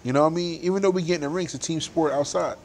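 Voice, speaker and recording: A man's voice: on the high side, rapid-fire, darkish tone, slight background noise. A young adult man, a boxer, talking animatedly and emphatically in a casual interview, laughing often.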